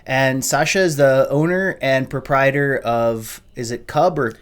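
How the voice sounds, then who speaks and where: A man's voice: mid-range voice, unhurried, smooth. A man hosting a casual interview, asking long questions with many uhs and restarts. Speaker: podcast host, interviewer.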